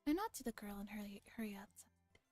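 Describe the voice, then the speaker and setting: A woman's voice: medium pitch, animated, quick pace, a little mellow, no echo, faint music behind. A woman streamer with a playful, casually profane manner, reading a visual novel aloud and chatting casually.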